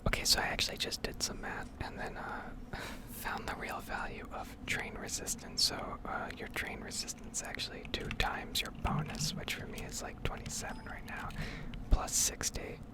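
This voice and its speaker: A woman speaking in a breathy whisper, rambling analytically as she hosts an ASMR gameplay video. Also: moderate pace. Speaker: ASMR gaming video host.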